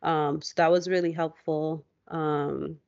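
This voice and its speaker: A woman with a slightly low voice talks as a podcast co-host. Her delivery is informal and reflective, with halting personal storytelling. The recording is dry and a little muffled. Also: little pitch movement, vocal fry, a little mellow, no room sound. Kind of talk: reflective casual conversation about personal experience.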